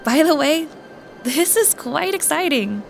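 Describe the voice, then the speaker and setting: An actress playing a courteous, careful coin appraiser who explains things politely and in measured phrases in an audio drama. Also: female voice, sits high, animated, creaky, faint music behind, exaggerated voice. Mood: polite, explaining.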